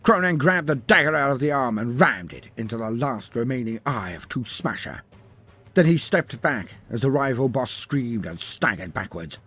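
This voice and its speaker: A husky-voiced man tells a war fantasy story with theatrical relish and a wry edge. His pitch moves freely through florid, dramatic sentences. Faint music runs underneath. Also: lively intonation, moderate pace, fairly bright tone. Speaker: storyteller narrator. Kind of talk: dramatic scripted fantasy narration.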